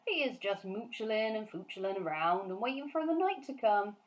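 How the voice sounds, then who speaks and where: A woman voicing a big friendly giant from a storybook, excitable and kindly, speaking emphatically in garbled grammar and nonsense words. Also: medium pitch, moderate pace, pitched above the performer's own voice, lightly acted. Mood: matter-of-fact.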